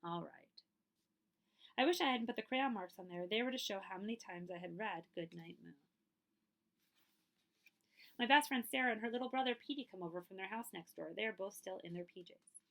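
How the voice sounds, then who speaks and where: A woman reading a children's picture book aloud as a librarian, in an expressive, measured storytelling way. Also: mid-range voice.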